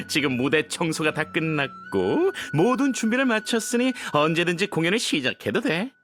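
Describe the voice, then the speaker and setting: An actor playing an eager, bubbly young helper in a video game, friendly and excitable in manner. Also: male voice, on the high side, moderate pace, faint music behind. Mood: cheerful. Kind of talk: video game dialogue acting.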